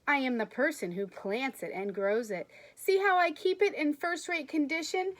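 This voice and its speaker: A woman's voice: sits high, normal speed, pitched above the performer's own voice, close to an everyday voice. A woman doing a proud, bossy gardener. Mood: boastful. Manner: boastful, insistent. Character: proud, possessive male gardener.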